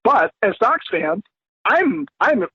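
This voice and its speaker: An American man on a thin phone line, giving his take as a sports podcast guest in a halting, conversational way. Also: sits high, very animated, pushed and bright, slightly rough. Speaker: podcast guest, former radio program director. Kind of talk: casual sports discussion.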